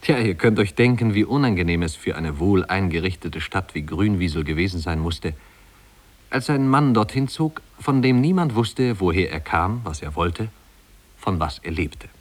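A man's voice: deep voice, animated, moderate pace. A man narrating a 1950s German radio drama as a wry storyteller recalling his small-town youth in a leisurely, conversational way. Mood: wry, reminiscing.

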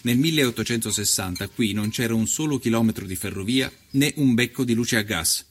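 An actor playing an observant, composed newspaper journalist, narrating a scripted historical documentary. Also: male voice, medium pitch, a little fast, a little hiss or hum, close to an everyday voice.

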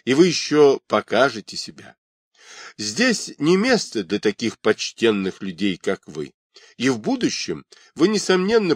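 A man's voice: medium pitch, breathy edge, no room sound, clean studio sound, exaggerated voice. A man voicing a talkative, ingratiating male prison overseer in a read-aloud story. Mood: flattering. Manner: chatty, flattering, reassuring.

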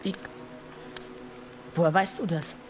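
An actress in a German radio drama playing a guarded medieval warrior woman who speaks hesitantly and deliberately. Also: lowish voice, fairly even intonation, music in the background. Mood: startled, uneasy. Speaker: actor in an audio drama.

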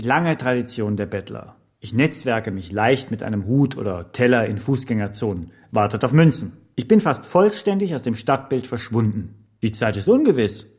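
Casting: pushy trainer-salesman, husky and highly animated, sloganeering radio-drama monologue. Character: pushy male motivational trainer pitching begging as business.

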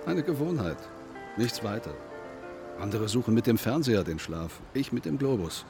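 An actor playing a laconic undertaker in a German radio drama, speaking in a dry, detached, deliberate manner with morbid musing. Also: male voice, medium pitch, sing-song, music in the background. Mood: dry, aloof.

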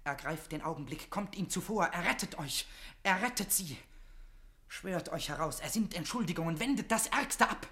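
A man's voice: high-pitched, animated, moderate pace, bright, forceful tone, exaggerated voice. A young male plotter in a classical radio drama. He is reckless and desperate, speaking in fervent, declamatory phrases with constant urgency. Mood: urgent warning.

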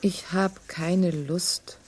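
An actress playing a discontented woman who reads out her letter in a measured, deliberate way in a radio drama. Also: on the low side, steady tone, a crowd in the background, low-quality recording. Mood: weary, resolute.

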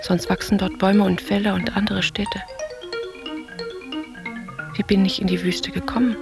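A woman playing a pensive, detached narrator who recounts memories and dreams in measured, short literary sentences for a radio play. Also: medium pitch, level tone, lightly acted. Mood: dreamlike, musing. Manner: measured, introspective. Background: music.

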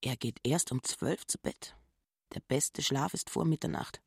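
A husky, fretful old housekeeper. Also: female voice, rumbling low, a little slow, no echo, studio quality, heavily acted. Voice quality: husky, half-whispered. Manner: fretful grumbling inner monologue. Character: anxious, suspicious old housekeeper muttering to herself. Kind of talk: German radio play acting.

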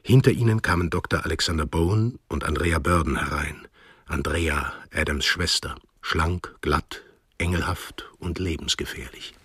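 A man's voice: a bass voice, normal speed, a bit bright, breathy edge, dry recording, clean studio sound. A man narrating a radio drama from a script in standard German.

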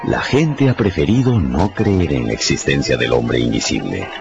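A man's voice: very deep voice, sing-song, normal speed, gravelly, lo-fi sound, over music. A man giving dramatic scripted narration of a radio story.

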